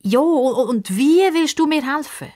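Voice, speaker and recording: A woman's voice: high-pitched, expressive, slow, darkish tone, voice pushed up. A woman voicing an eager, sceptical young girl in a children's story, direct and questioning, with a Swiss German accent. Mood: impatient.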